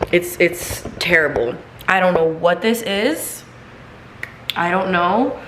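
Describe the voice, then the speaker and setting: A young woman with a low voice chats to her viewers at home, sounding stuffy and congested after being sick. She rambles warmly and loosely, heavy on "like" and "you guys." The room is echoey and there is clear noise in the background. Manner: chatty, filler-heavy, talks straight to viewers. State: congested, recovering from illness. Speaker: vlogger. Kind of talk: casual chatty vlog. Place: home kitchen, then seated at home.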